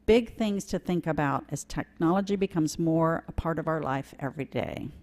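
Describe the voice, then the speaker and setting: A woman reading a children's story aloud during a church service, slow and expressive. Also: low-pitched.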